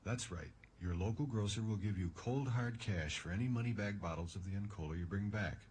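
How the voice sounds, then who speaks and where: A low-voiced man playing a deadpan underground tipster pitches soda in an old radio commercial. He sounds mock-earnest, with faint noise on the recording. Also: brisk, lightly acted.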